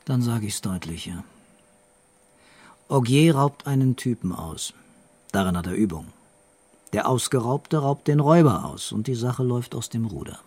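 An actor playing a tough, weary police investigator in a German radio crime drama, blunt and interrogating. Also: male voice, medium pitch, animated, moderate pace, long pauses, darkish tone, husky. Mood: probing.

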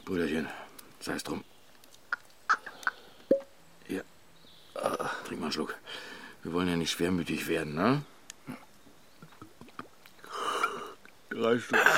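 A very low male voice plays a tired family father in a naturalistic radio drama. He is colloquial and sardonic, and tends to ramble. Clearly audible ambient noise sits behind him. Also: fairly bright tone. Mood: resigned. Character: weary family father, mocking, talkative brother.

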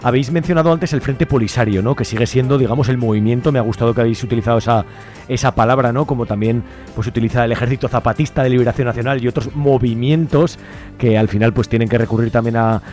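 A man's voice: medium pitch, fairly even intonation, quick pace, a little mellow, faint music behind. A man with an accent from Spain interviewing on the radio in a conversational way, with long questions full of tag questions. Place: radio studio.

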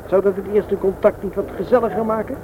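Hesitant, eager male suitor, played by an actor, fairly high voice, noisy old radio-play sound. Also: fairly even intonation, highs cut off, natural voice. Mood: awkwardly eager. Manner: halting, hesitant. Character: hesitant but eager suitor proposing an outing.

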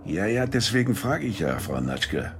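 A menacing gangland boss in a radio drama, with a very deep, creaky voice. His delivery is highly animated in pitch, suave and condescendingly polite, over music. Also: normal speed, background noise. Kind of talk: German radio drama acting. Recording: music behind the voice.

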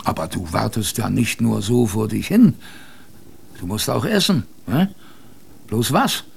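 A man's voice: lowish voice, animated, unhurried, vocal fry, slightly rough, full character voice. A man playing a plain-spoken, wry sailor who tells his expedition tale chattily, straight to the listener, in a radio drama. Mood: wry, colloquial. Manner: chatty, addresses the listener directly. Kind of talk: radio drama first-person narration, colloquial. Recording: faint background ambience.

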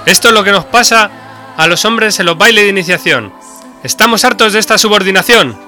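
A man's voice: high voice, expressive, a little fast. An actor playing a fed-up male protest organiser in a scripted satirical audio drama, with music behind. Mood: defiant.

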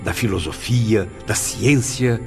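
A deep-voiced male spirit in a radio drama, solemn and grateful. His delivery is florid and declamatory, as if reciting weighty memories. Music plays underneath. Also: slow, gravelly. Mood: awed, solemn.

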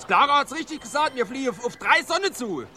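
An actor playing Ernst, a chatty, excitable middle-aged space pilot in a radio play. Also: male voice, very high-pitched, fairly quick, slight background noise, heavily acted. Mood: excited. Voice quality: folksy, excitable, rambling.

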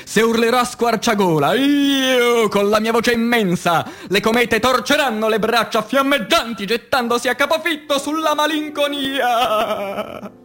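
A man performing a dramatic poetry recitation, declamatory and intense, with dramatic pauses and swings between hushed and forceful delivery. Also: very high voice. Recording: voice over music bed. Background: music.

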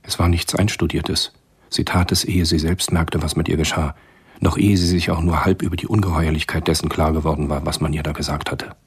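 Radio drama narrator, low pitch, monotone, grave, detached, restrained literary delivery. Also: male voice, breathy edge, full character voice. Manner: measured, restrained. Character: grave, detached male storyteller.

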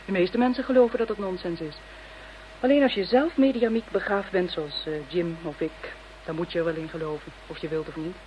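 A woman's voice: lowish voice, brisk, dull audio, natural voice. Actress as assured woman psychic, faint noise. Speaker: voice actor. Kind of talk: Dutch radio drama acting. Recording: faintly noisy old radio drama recording.

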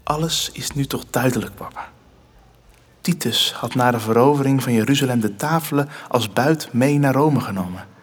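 A young male actor playing an earnest, determined teenage son in a radio drama, speaking intensely and haltingly. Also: medium pitch, a little hiss or hum, close to an everyday voice. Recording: music under the voice.

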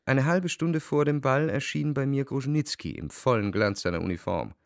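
A man in a radio play, acting a jaded young male aristocrat who tells his own story with cool, measured irony. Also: mid-range voice, vocal fry, dry recording, clean studio sound, close to an everyday voice. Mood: cool reflective narration. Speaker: narrator and lead actor. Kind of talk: radio play acting with first-person diary narration.

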